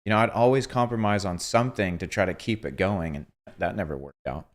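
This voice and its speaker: A man in his twenties hosting a casual livestream chat, telling stories with earnest, animated quoted asides. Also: sits low, brisk. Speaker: host.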